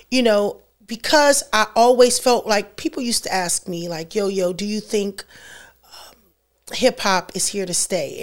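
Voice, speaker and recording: A middle-aged woman from Los Angeles, a rapper chatting as a guest in a casual interview, animated and often restarting her sentences. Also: medium pitch. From Los Angeles, California. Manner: animated, restarts sentences, slips into rapping lyrics.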